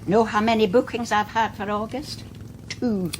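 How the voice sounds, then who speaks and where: A woman's voice: mid-range voice, steady tone, moderate pace, background noise, natural voice. An actress playing a fretful older Scottish mother who runs a guest house, chatty and fussing, in a radio drama. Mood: fretful, indignant. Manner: chatty, fussing, mildly indignant. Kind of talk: naturalistic radio drama acting.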